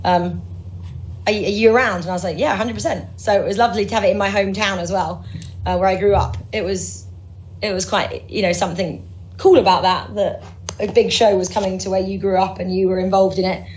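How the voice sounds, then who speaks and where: A woman's voice: mid-range voice, steady tone, a little fast, a little mellow, poor audio. Chatty woman sports presenter from Norwich. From Norwich, England. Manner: chatty, upbeat, many fillers and restarts. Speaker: sports presenter, interview guest. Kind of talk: casual interview chat.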